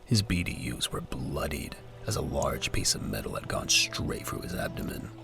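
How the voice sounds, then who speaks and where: Male narrator, scripted audio drama, extremely low pitch, heavy vocal fry, music behind. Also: very animated, normal speed.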